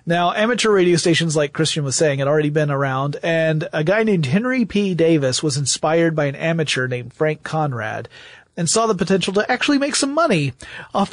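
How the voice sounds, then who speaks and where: Podcast co-host: American male, somewhat higher register, conversational explanatory delivery, dry recording. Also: dry recording.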